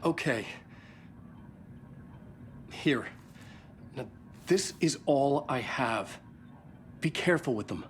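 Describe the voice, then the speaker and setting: An actor playing a troubled, haunted writer in a video game, solemn and halting, with earnest urgency. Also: male voice, mid-range voice, animated, slight background noise. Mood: anxious, pleading. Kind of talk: cinematic video game acting.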